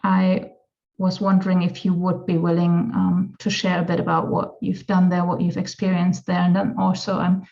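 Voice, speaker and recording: Woman interviewer, gravelly voice, hesitant delivery, dull lo-fi audio. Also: lowish voice, completely flat delivery, moderate pace, dark tone. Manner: hesitant, many fillers. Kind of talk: online interview.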